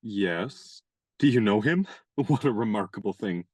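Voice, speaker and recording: An eager young man in a radio play. The voice is very deep and dryly recorded, and the manner is awkward and hesitant, tripping over the starts of sentences. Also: lively intonation, a little slow, dark tone, breathy edge, no room sound, exaggerated voice. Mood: flustered, surprised. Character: eager, awkward young man, shy would-be suitor and writer.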